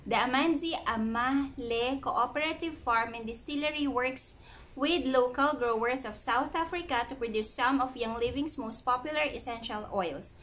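A woman's voice: high-pitched, normal speed, fairly bright tone, slight background noise. A young woman with a Filipino accent, chatting through an unboxing vlog at home and reading product text aloud. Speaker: vlogger. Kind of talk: casual unboxing vlog mixing English and Tagalog. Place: living room at home. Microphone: camera in front at arm's length.

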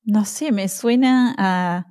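A woman's voice: medium pitch, takes it slowly, darkish tone. A woman with an Argentine accent, a podcast guest who explains things with examples and laughs often. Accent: Argentine Spanish. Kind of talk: casual conversation.

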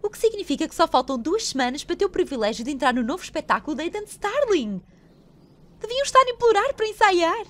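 A woman with a European Portuguese accent voicing a selfish, haughty young theatre star in an animated film dub. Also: extremely high pitch, fast, heavily acted. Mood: scornful, imperious. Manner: haughty, commanding. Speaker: fan dub voice actor.

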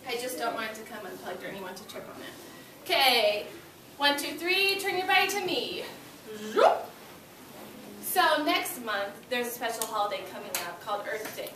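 A woman's voice: fairly high pitch, animated, moderate pace. A young woman teaching small children in a classroom, encouraging them and giving simple, short instructions. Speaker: elementary school teacher. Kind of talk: classroom read-aloud lesson for young children. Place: school classroom, indoors. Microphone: far camera. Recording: echoey classroom, distant camera, loud room noise.

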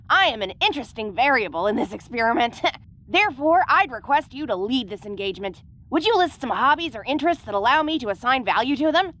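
A woman's voice: a little high, a bit bright. A woman playing an eccentric, studious alien scientist in an audio roleplay, speaking formally and clinically. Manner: formal, clinical, precise wording.

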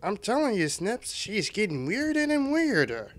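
A man voicing a dim, cheerful young cartoon unicorn pony with a goofy, slow-witted delivery. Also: high voice, huge pitch swings, no room sound, pitched above the performer's own voice. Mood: dopey, musing.

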